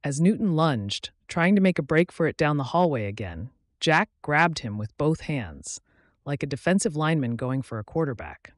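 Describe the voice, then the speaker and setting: A man's voice: fairly high pitch, lively intonation, normal speed, no room sound. Male audiobook narrator, dry studio sound. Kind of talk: scripted story narration, audiobook reading.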